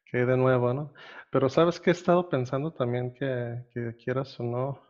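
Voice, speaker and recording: A relaxed man chats as the host of an interview. He rambles a little, fills gaps with "este" and laughs easily. His voice comes through a dull, lo-fi remote line. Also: medium pitch, normal speed. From Costa Rica. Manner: laid-back, laughs often, rambling with fillers. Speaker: podcast host. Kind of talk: casual interview chat.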